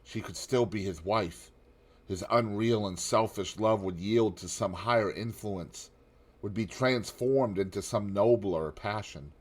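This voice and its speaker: Low-pitched guy reading an old novel aloud, measured, goes quiet on the dark bits. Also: slightly rough. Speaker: audiobook narrator. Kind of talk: scripted narration of a classic novel.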